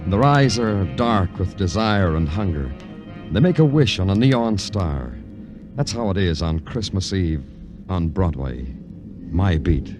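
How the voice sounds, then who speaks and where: An actor playing a world-weary police detective, speaking with measured, poetic drama in 1950s noir radio style. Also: male voice, sits low, expressive, music in the background. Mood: brooding. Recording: old radio broadcast recording.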